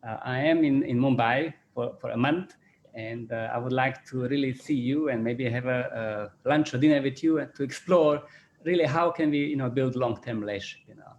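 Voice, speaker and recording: A man with a Croatian accent, a business school chairman giving closing remarks on a video call, halting and repeating words with many fillers. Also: mid-range voice, a little mellow, muffled recording, slight background noise. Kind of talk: closing remarks at an online panel.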